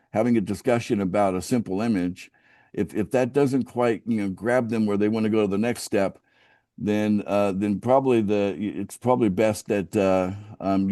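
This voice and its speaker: A middle-aged American man from the West Coast, pitching a business opportunity on a casual group call, often restarting sentences and asking the group for agreement. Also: mellow, no echo, clean studio sound. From United States, West Coast. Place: outdoors by a home pool.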